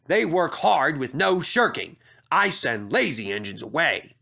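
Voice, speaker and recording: A man playing a stern, pompous middle-aged railway controller with a severe, deliberate manner, in a children's story. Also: fairly high pitch, sing-song, creaky, sharp tone, heavily acted.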